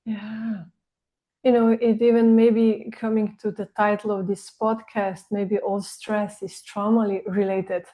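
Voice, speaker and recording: Woman co-host, middle-aged, mellow voice, affirming and reflective as she asks questions. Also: mid-range voice, level tone, unhurried, poor audio. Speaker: podcast co-host. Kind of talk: conversational interviewing.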